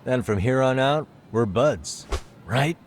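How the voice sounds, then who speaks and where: A man's voice: medium pitch, lively intonation, slow pace, slight background noise. An actor playing a cool, sardonic male detective in a video game, confident, needling and stern.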